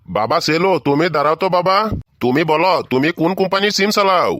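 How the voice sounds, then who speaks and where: A man's voice: fairly high pitch, lively intonation, fast, fairly bright tone, no echo, studio quality. A man voicing a fatherly but exasperated school official addressing children in a comedy cartoon, with exaggerated delivery. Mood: friendly. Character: exasperated adult man, schoolteacher and visiting company manager.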